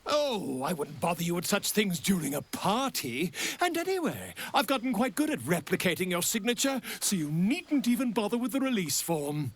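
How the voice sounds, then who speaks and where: Video game schemer: charming self-promoter, breathy-rough tone, animated, wheedling, theatrical. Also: male voice, high voice, moderate pace, few pauses, exaggerated voice. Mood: sly, chummy. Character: flamboyant, self-promoting, slyly charming writer and old friend. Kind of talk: video game character acting.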